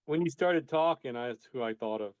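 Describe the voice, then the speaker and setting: A man's voice: medium pitch, expressive, moderate pace, clear, resonant tone, no echo, low-quality recording. Casual man asking questions. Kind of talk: casual online audio chat.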